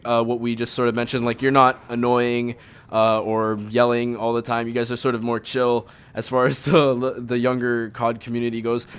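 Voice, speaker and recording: A young man with a clear, smooth voice and middling pitch hosts a laid-back gaming conversation. He talks informally and rambles as he goes, often qualifying what he says. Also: fairly bright tone. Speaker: host of a gaming video series. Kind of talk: casual chat over gameplay.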